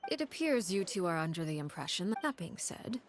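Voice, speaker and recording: A woman voicing a commanding, composed leader in a video game, with a measured, formal and aloof manner. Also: fairly low pitch, big pitch swings, slight background noise. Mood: calculating.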